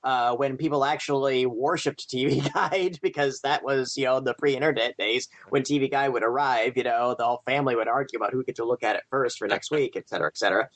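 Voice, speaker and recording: A man's voice: mid-range voice, fast talker, hardly any pauses, fairly bright tone. A middle-aged man in casual fan chat on a podcast, rambling enthusiastically as he tells stories. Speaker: podcast panelist.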